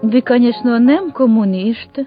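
A woman's voice: fairly high pitch, close to an everyday voice. An actress playing a timid, disbelieving Hungarian city woman in a radio play, speaking hesitantly. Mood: wary, hopeful. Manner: slow, hesitant. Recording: muffled radio drama, clear music behind.

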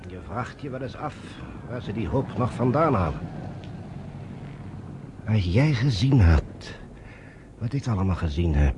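An actor in a radio play, playing a troubled, conscientious British general, grave and measured, with a husky voice. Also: male voice, on the low side, very animated, muffled recording, noisy background. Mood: brooding. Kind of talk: Dutch radio play acting.

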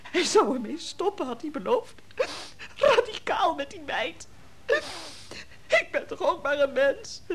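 An actress playing a bitter, money-minded, scolding sister-in-law in a radio drama, sharp-tongued and accusing. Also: female voice, high-pitched, very animated, unhurried. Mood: upset, indignant. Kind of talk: naturalistic Dutch radio drama acting. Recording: noisy old radio drama recording.

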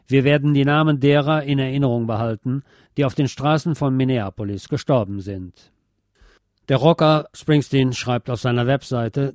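Man, mellow dark tone, dry close recording, presenter, scripted commentary. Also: mid-range voice, no room sound. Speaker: radio presenter reading a commentary. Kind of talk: scripted political and music commentary.

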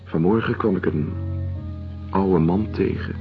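A man playing a thoughtful, sceptical doctor and writer in a restrained radio drama, with a gravelly voice. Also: low-pitched, a little slow, soft background music. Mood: calm, dismissive. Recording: dull, muffled radio play recording.

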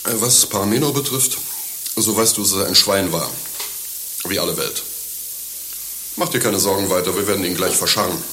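A scheming, money-minded servant in radio satire. He has a deep voice and lectures with sardonic, crude condescension. The sound is roomy, with a light hiss behind it. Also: normal speed, pauses often, sharp tone. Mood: scolding, scheming. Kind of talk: satirical German radio play acting.

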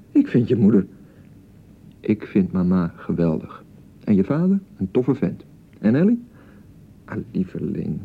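Through a narrow, phone-like radio play sound comes an exasperated young newlywed husband. His voice is creaky and highly inflected as he coaxes and soothes with pet names. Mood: placating. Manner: coaxing, placating, uses pet names. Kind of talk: naturalistic radio drama acting.

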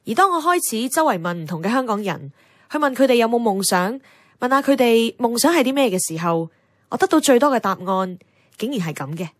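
An actress playing an idealistic, earnest radio host with a Hong Kong accent, delivering a reflective scripted monologue in an audio drama. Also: female voice, fairly high pitch, lively intonation, fairly quick, no echo, clean studio sound, lightly acted. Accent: Hong Kong Cantonese.

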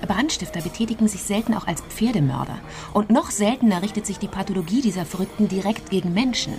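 A woman's voice: mid-range voice, animated, quick pace, dark tone, natural voice. Woman acting female investigator, sardonic, probing, coolly analytical, radio drama, music underneath. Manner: ironic, probing, articulate.

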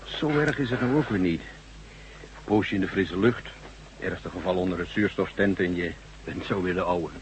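A man's voice: deep voice, normal speed. A man acting a burly, folksy construction worker, uneasy and deferential, in a science fiction radio drama. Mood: uneasy, pained. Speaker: actor in a radio play. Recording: dull, noisy old radio recording.

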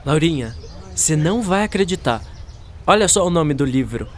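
An actor playing an excitable, chatty and playful schoolboy in a Brazilian radio drama. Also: male voice, a little high, moderate pace, lightly acted. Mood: excited. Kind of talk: scripted radio drama acting.

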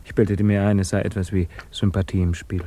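A man playing a guarded, evasive émigré physicist under suspicion in a 1950s German radio drama, speaking formally and hesitantly, quietly troubled. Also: low-pitched, flat delivery, a little fast. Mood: earnest, wistful.

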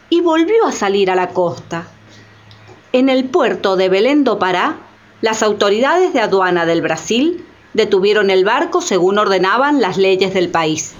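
A woman narrates dramatic radio storytelling from a script. Her voice is high and animated, slightly dull in sound, with faint music behind. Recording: slightly muffled.

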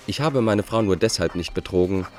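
A man's voice: low voice, brisk, darkish tone, soft background music, lightly acted. An actor playing a bitter, self-justifying Dutch fugitive in a radio drama, setting out his letters in a formal, pedantic way. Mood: bitter, insistent. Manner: formal, pedantic, enumerating point by point. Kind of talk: radio drama monologue, letters read aloud.

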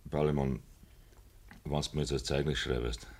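A young farmhand in a Bavarian dialect radio play. The voice is deep, and the delivery is plain-spoken, that of a blunt lad with his pride. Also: fairly even intonation, unhurried, darkish tone, heavily acted. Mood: subdued, respectful. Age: young adult.

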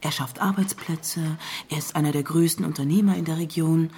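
An actress playing a knowing, cynical blogger in a radio crime drama, creaky-voiced, measured and insinuating. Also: female voice, low-pitched, fairly even intonation, mellow. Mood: knowing, confiding. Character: knowing, cynical woman blogger informing police.

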